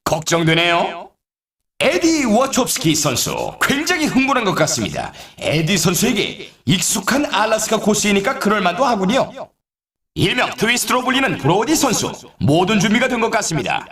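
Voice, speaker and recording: A man voicing a lively, upbeat snowboard race announcer in a video game, giving showy, hyped sportscaster commentary. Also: sits high, normal speed, roomy sound, heavily acted. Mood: hyped, dramatic, then excited, announcing. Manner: animated, showy sportscaster delivery. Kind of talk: excited scripted race commentary.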